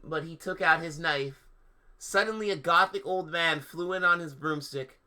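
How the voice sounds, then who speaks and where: A man's voice: a little high, moderate pace, sharp tone. A man hosting a livestream, giving a theatrical, mocking dramatic reading of fan fiction with casual asides. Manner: theatrical, mocking delivery that breaks into laughter. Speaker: livestream host.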